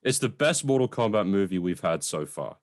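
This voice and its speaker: A man's voice: low voice, very animated, a little fast, no echo, clean studio sound. A man hosting a podcast, chatting casually and enthusiastically about a film in an informal way. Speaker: podcast host. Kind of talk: casual film review chat.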